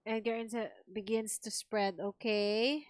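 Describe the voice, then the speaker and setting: Slow-talking Filipino woman streaming a game. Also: mid-range voice, steady tone, dry recording, clean studio sound. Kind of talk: casual live stream commentary.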